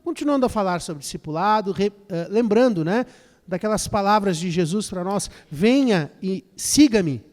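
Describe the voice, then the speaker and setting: A man's voice: high-pitched, expressive, normal speed, darkish tone. A man interpreting a lecture phrase by phrase, often starting with fillers and repeated words. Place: lecture hall. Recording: long hall reverb.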